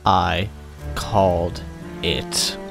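A young man hosts a let's play in a fairly low voice. His commentary is casual and wry, with game music faintly behind him. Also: fairly even intonation, extremely slow, gravelly. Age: young adult. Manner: wry, sarcastic.

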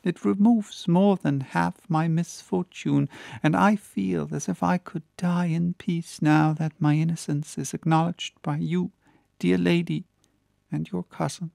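A man voicing a gentle, sorrowful, resigned condemned young woman in an earnest, tender audiobook reading. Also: on the high side, fairly even intonation, measured pace, gravelly, no room sound, clean studio sound, voice pushed up. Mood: grateful, resigned. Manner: earnest, tender, pleading delivery.

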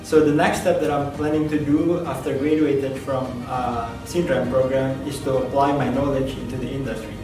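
A man's voice: medium pitch, fairly even intonation, normal speed, hardly any pauses. A young adult man from Indonesia with an Indonesian accent, a graduate student giving a prepared testimonial to camera. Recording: echoey room, distant mic, music behind.

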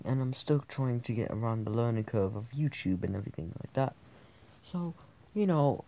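A man's voice: medium pitch, mellow. A young man whose first language is Italian, hosting a gaming channel and rambling with jokes and restarts as he talks into a handheld mic. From born in Spain, raised in Italy, France, Britain and Germany. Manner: rambling, joking, self-deprecating, many restarts. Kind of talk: casual thank-you vlog over gameplay. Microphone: handheld mic over screen capture.

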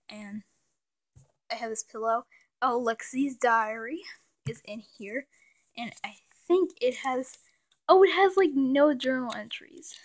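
A woman's voice: a little high, a little hiss or hum. A woman casually showing her doll house at home, chatty with lots of fillers, sometimes sinking to a whisper, recorded on a handheld phone. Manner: chatty, many "like" fillers, drops to soft whispers at times. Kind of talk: casual show-and-tell narration. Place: indoors at home, near the dollhouse.

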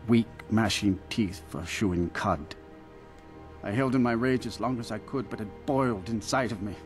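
Casting: male actor as guilt-ridden caged werewolf in man's shape, low brooding voice, confessional with feral hunger, fantasy game, music underneath. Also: moderate pace, natural voice. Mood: feral, hungry. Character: remorseful imprisoned werewolf in human form, feral hunger beneath. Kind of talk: video game character dialogue.

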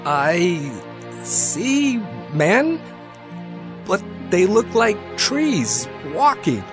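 An actor playing a blind man regaining sight, speaking in a halting, wondering manner in a scripture drama. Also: high-pitched, very animated, full character voice. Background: background music.

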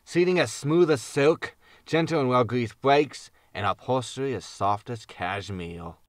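A man's voice: medium pitch, a little slow, no room sound, clean studio sound, close to an everyday voice. A man playing a grumpy, self-excusing spaceship captain in a sci-fi comedy audio drama, sardonic and grumbling. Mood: wistful grumbling.

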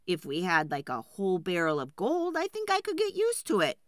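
A woman's voice: fairly low pitch, wildly swooping pitch, normal speed, no echo, studio quality. A woman voicing a meek, apologetic male woodcutter in a children's folk tale, hesitant and pleading. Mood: hopeful, coaxing. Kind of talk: storybook character voice.

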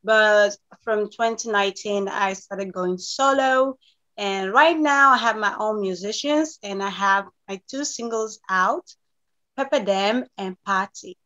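A woman's voice: mid-range voice, measured pace, bright, forceful tone. A bubbly young woman from Greece chats in a casual interview. She talks expressively and laughs easily. Her voice comes through a slightly dull, lo-fi video call. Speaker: guest singer-songwriter. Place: home room, remote video call.

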